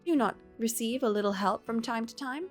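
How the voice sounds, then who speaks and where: A woman reads a curious, well-spoken young lady from a visual novel who is new to farm chores. The voice is high, the phrasing formal and inquisitive, with music behind. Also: brisk, natural voice. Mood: polite, concerned. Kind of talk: visual novel character reading. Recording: background music.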